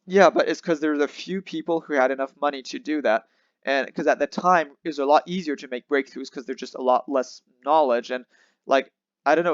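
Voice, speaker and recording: A man's voice: medium pitch, no echo. Man, show host, reaction commentary, casual, thinking aloud, dull dry recording. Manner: casual, thinking aloud, many fillers and restarts.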